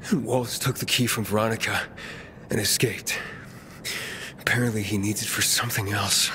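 An actor playing a guilt-ridden young male survivor in a video game, grave, halting and intense. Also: fairly low pitch, steady tone, pushed and bright, slight background noise. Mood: grim realization. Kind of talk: dramatic video game acting.

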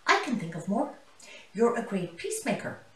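Lady as a proud loyal zebra classmate, admiring, lively pitch, kids book, echoey room. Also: moderate pace, far from the mic. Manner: sincere, admiring. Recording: roomy, echoey living room.

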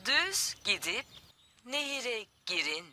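A polite female camper-van computer giving directions in a children's cartoon. She speaks slowly with a slightly high, melodic voice. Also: very animated, over loud music. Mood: polite, instructive.

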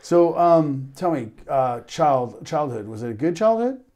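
Mellow-voiced American man hosting. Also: fairly high pitch, animated, a little slow. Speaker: podcast host, interviewer. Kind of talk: casual interview.

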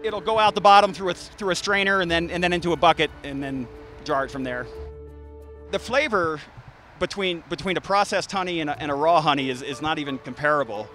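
Relaxed man explaining beekeeping outdoors. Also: on the high side, fairly quick, faint music behind. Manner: relaxed, frequent restarts and fillers. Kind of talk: casual explanation to an interviewer. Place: outdoors by beehives in a garden. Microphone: handheld interview mic.

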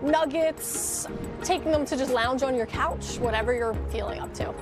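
High-voiced woman explaining casually. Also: moderate pace. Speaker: animal shelter representative. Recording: music under outdoor interview.

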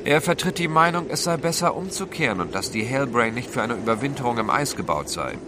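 An actor playing a composed, inquisitive gentleman adventurer, polite but direct, in a radio drama. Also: medium pitch, big pitch swings, brisk, noisy background, lightly acted. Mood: serious.

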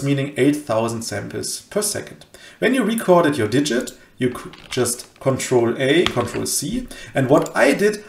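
A man in his thirties giving a casual software tutorial, explaining step by step in a hesitant way into a headset mic. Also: mid-range voice, creaky. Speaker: host of a cryptography tutorial channel. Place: small room indoors at a computer. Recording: roomy home recording.

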